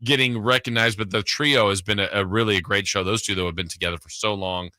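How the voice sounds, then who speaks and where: A man's voice: fairly low pitch, fairly even intonation, fast, pushed and bright, no echo. A man hosting a sports radio show in casual, chatty and enthusiastic talk.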